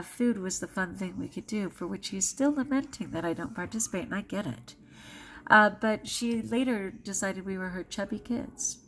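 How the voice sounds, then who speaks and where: Candid middle-aged woman, faint background noise. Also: medium pitch. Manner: confessional and emphatic, with dramatic pauses and self-quoted inner voices. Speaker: vlogger. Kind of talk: candid personal reflection to camera.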